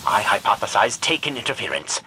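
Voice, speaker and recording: A man's voice: fairly low pitch, big pitch swings, fairly quick, sharp tone, slight background noise, poor audio. An actor playing a cantankerous, obsessive older male alien scientist in a video game, pompous and theatrically indignant in manner. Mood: grave, bitter. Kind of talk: dramatic video game voice acting.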